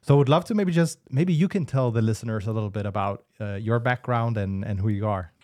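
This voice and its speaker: A man's voice: lowish voice, quick pace, a little mellow. Joking male podcast co-host. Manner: playful, joking, laughs often. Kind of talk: casual interview chat.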